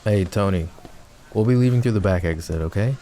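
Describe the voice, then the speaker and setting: Casting: a man to play a scheming, possessive yandere mafia boss for ASMR roleplay; dark, relaxed low voice, smooth and teasing. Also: a little fast, a little hiss or hum, lightly acted. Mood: calm, composed. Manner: smooth, teasing, mock-polite. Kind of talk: intimate ASMR roleplay acting.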